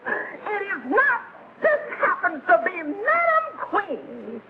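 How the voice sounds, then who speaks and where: Casting: domineering jilted middle-aged woman, scolding and very high-pitched, old TV comedy with phone-like noisy sound. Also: huge pitch swings, slow pace, gravelly, exaggerated voice. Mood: furious, scolding. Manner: scolding, snarling. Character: scornful jilted older woman, a domineering mother. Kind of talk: 1950s television sitcom acting. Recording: noisy, tinny vintage TV soundtrack.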